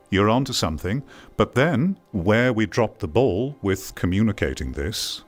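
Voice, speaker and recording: A man with a deep, low-pitched voice talks over faint background music. He delivers opinionated commentary like a video essayist. His manner is emphatic and probing, built around pointed rhetorical questions. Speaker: video game commentator and host.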